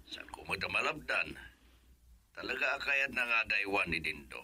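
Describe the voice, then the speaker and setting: An actor with a Filipino accent playing a soft-spoken, hospitable older fatherly man in a radio drama. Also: fairly low pitch, very animated, normal speed, full character voice. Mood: subdued. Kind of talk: Ilocano radio drama acting.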